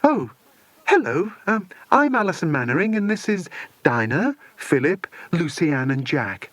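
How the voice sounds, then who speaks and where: A man's voice: on the high side, big pitch swings, measured pace, fairly bright tone, no echo, pitched above the performer's own voice. A man voicing a polite, slightly flustered woman in charge of children in a children's audiobook.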